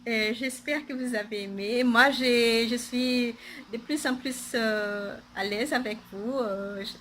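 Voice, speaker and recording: A woman's voice: fairly high pitch, steady tone, slow, fairly bright tone, slight background noise. A woman with a Portuguese accent, a native Portuguese speaker, chatting casually on her knitting podcast, hesitant, with many fillers and restarts.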